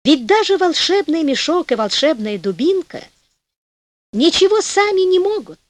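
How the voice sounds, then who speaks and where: A woman's voice: very high-pitched, very animated, normal speed, dry recording, voice pushed up. Woman voicing a friendly young lake fairy. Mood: tender. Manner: friendly, gentle. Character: young beautiful lake fairy, warm and kind.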